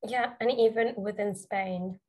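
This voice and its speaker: A woman's voice: mid-range voice, steady tone, unhurried, a little mellow, breathy edge. A young British woman, a university student, chatting casually with lots of fillers. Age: young adult. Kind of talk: casual chat.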